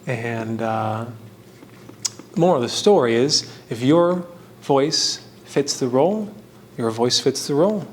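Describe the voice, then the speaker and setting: A man giving casual, rambling commentary as the host of a hands-on craft video, with a halting delivery that meanders and trails off between thoughts. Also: mid-range voice, expressive, a little mellow, a little hiss or hum. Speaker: host of a painting and fan trivia video.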